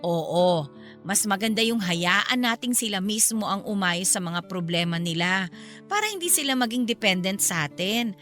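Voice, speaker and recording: A woman's voice: medium pitch, expressive, normal speed, a bit bright, faint music behind, natural voice. A woman playing a frank, feisty middle-aged Filipino mother and forgiving best friend in a radio drama. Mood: reassuring.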